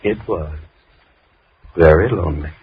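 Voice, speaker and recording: A muffled, noisy old radio recording carries a deep-voiced, sinister gentleman. He is lonely and formally polite, with a quiet menace under every courtesy, in 1930s horror radio style. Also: measured pace. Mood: eerily polite. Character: sinister, courteous, lonely man living alone.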